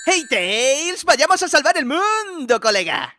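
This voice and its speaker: A man voicing an excitable, foul-mouthed cartoon game hero, exasperated and exaggerated, in an amateur parody dub. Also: very high voice, measured pace, background noise, exaggerated voice.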